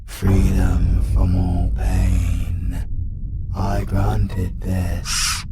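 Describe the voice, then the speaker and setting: A man voicing a deep, slow British demon. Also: completely flat delivery, very noisy. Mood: menacing. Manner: slow, drawn-out menace. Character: ancient male demon power, cold and grandiose. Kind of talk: fantasy audio roleplay acting.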